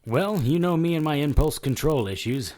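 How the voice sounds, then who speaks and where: A man's voice: fairly high pitch, animated, normal speed, a little mellow, lightly acted. An actor playing a wry, impulsive, tough detective who narrates his own story in an audio drama. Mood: defiant. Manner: wry, hard-boiled. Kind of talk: first-person audio drama narration and acting.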